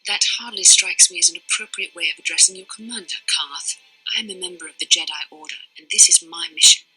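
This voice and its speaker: An actress playing a proud young Jedi commander in a video game, imperious, clipped and formal in manner. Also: female voice, lowish voice, a little fast, sharp tone, husky, full character voice, low-quality recording. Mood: haughty, reproachful. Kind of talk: dramatic video game voice acting.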